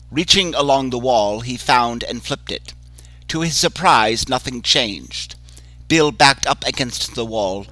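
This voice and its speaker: A man narrates a horror story in a measured, suspenseful way. His voice sits at an ordinary middle pitch. A clear music bed plays under him. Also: sharp tone, poor audio. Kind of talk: dramatic horror story reading.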